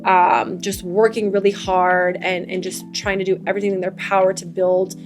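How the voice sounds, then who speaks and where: A young woman co-hosting a podcast, whose first language is Farsi. She speaks in an earnest, enthusiastic way with a flat, even pitch. Faint music plays under her voice. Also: normal speed. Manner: earnest, enthusiastic about fitness. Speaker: podcast co-host. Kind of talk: casual chat.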